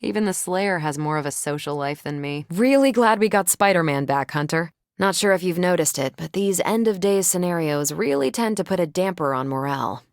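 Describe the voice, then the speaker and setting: A confident female superhero soldier from a video game, in a dry, close studio sound. Her voice sits on the low side for a woman. She banters casually, with a wry, competitive self-assurance. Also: animated, brisk, hardly any pauses, no echo. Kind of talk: video game voice acting, conversational dialogue.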